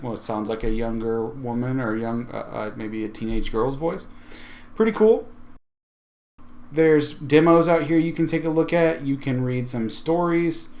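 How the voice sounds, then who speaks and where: A man's voice: medium pitch, darkish tone. Casual man hosting a tech tutorial. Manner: informal, conversational, thinking aloud. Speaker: tech video host. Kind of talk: casual software tutorial walkthrough.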